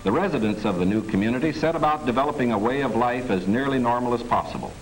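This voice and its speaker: An American man reading scripted 1940s wartime documentary narration in a measured, formal manner. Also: medium pitch, lively intonation, rough recording. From United States. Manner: measured, formal, declarative delivery. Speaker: government film narrator. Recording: 1940s film soundtrack with music underneath. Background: music.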